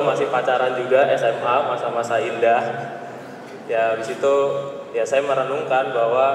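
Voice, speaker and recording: A young man, a seminarian, giving a reflective, halting testimony about his calling at Mass. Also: medium pitch, slight background noise. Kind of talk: personal vocation testimony at Mass. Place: large church. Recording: echoey church from far off.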